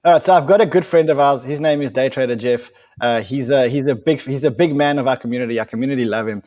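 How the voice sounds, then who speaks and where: A man's voice: medium pitch, fast. A man hosting a live crypto stream, urging viewers on and calling in guests with eager energy. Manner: pushy, excitable, urging viewers. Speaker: show host.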